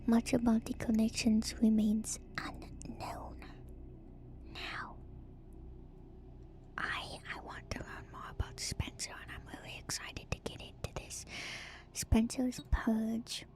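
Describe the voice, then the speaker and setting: A woman doing ASMR in a breathy whisper, reading lore aloud with hushed, enthusiastic casual commentary. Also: on the high side, level tone. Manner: hushed, slow, enthusiastic asides. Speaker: streamer and lore reader. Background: music.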